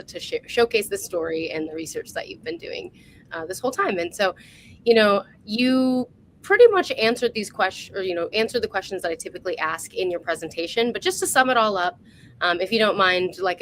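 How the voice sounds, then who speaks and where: A woman's voice: fairly low pitch, fairly bright tone, slight background noise, poor audio. A woman hosting a casual interview over a video call, informal and enthusiastic in the way she talks. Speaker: interview host.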